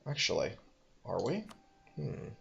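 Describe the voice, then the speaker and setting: A man's voice: on the low side, wildly swooping pitch, a little slow, vocal fry, fairly bright tone, lo-fi sound. A man thinking aloud as he plays a strategy game, musing, correcting himself and making dry asides. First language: English. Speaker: let's play host.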